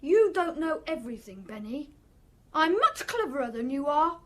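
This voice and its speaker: A woman's voice: high voice, very animated, moderate pace. A woman playing a childlike, unstable and boastful young man in a radio drama, with a taunting manner. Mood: boastful, giggly.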